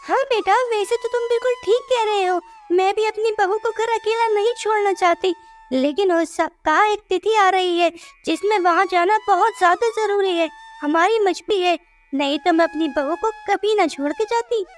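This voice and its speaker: A woman's voice: very high voice, moderate pace. A woman playing a caring, concerned older mother-in-law who admonishes her family in a scripted moral story cartoon. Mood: firm, coaxing. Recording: music behind the voice.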